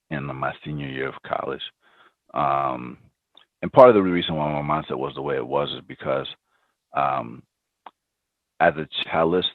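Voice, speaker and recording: Very deep-voiced man on a phone-like line. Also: dry recording. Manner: reflective, many ums and restarts. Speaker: podcast guest, startup founder. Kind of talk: casual interview answers. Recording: dry, phone-like remote line.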